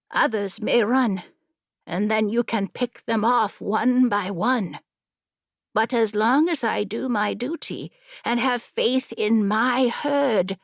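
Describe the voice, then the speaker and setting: A woman's voice: a little high, gravelly, no echo, clean studio sound, exaggerated voice. A woman voicing a small, brave horse guarding its herd in an audiobook fable, earnest and defiant.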